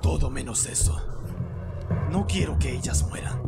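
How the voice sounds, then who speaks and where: A man's voice: mid-range voice, wildly swooping pitch, whispered, loud music behind the voice. A man playing an anguished, defiant Spartan warrior with intense delivery in a Latin American Spanish video game fan dub. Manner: intense, slow, dramatic.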